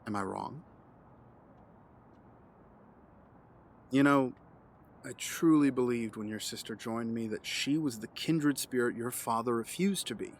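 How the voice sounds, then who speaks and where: An actor playing a calm, condescending, menacing villain mastermind in an audio drama, measured and taunting. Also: male voice, mid-range voice, a little hiss or hum, close to an everyday voice. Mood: smug, persuasive.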